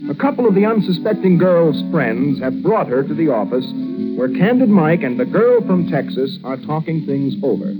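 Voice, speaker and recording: A man's voice: a little high, big pitch swings, moderate pace, rough recording. A man hosting a radio show, reading a playful, punning scripted introduction. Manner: playful, punning announcer delivery. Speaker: radio show host and announcer. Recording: vintage radio broadcast with loud music under the voice.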